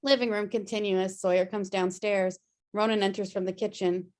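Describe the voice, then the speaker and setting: A woman's voice: medium pitch, fairly even intonation, normal speed, darkish tone. A woman reading screenplay stage directions as scripted narration on a video-call table read.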